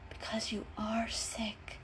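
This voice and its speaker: A woman's voice: fairly high pitch, monotone, slow, fairly bright tone, in a whisper, voice pushed up, rough recording. A woman voicing a determined, caring female cat in a storybook reading, earnest and coaxing. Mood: gentle coaxing.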